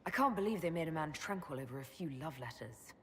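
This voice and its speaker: An actress playing a composed, authoritative heroine in a video game, direct and formal. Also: female voice, sits low, animated, fast. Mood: questioning. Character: composed, authoritative female leader, a mage heroine. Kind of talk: dramatic video game cutscene acting. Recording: hall reverb with background noise.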